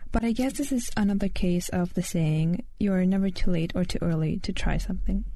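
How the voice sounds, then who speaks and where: A woman's voice: on the low side, a little fast, warm tone, no room sound. A fourteen-year-old girl from Lithuania, speaking English with a Lithuanian accent as the host of her own solo podcast and reading from a prepared script in an earnest way. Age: teens.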